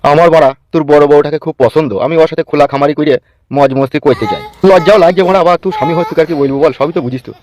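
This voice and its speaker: A man's voice: a little high, fairly even intonation, racing, a little mellow, lightly acted. A man playing a lecherous, bullying village politician in a Bengali cartoon, brusque and domineering, with a rural West Bengal accent. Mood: scheming, coaxing. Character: lecherous, bullying male village politician, foul-mouthed and scheming. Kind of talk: crude comic cartoon dialogue. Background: background music.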